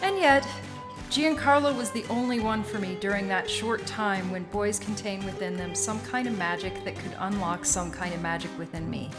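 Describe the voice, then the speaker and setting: A woman playing an older Sicilian woman who narrates her youth in a radio play, measured, confiding and wistful. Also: mid-range voice, over music, close to an everyday voice. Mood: wistful, sensual.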